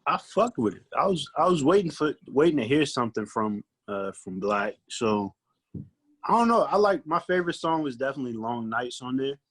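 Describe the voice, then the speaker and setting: Man, American accent, medium pitch, casual guest, slangy, thinking-aloud delivery. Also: big pitch swings, normal speed. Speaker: podcast guest, recording artist. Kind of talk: casual album review chat.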